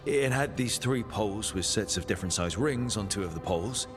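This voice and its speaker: A man voicing a curious adventurer leader who asks calm, measured, probing questions in a game cutscene. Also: fairly low pitch, faint music behind. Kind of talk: video game cutscene dialogue.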